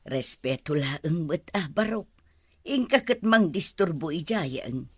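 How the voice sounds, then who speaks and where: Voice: medium pitch, animated, unhurried, husky, no room sound, studio quality. An actor playing a fretful, scolding older mother with an exasperated, chiding manner in a radio drama.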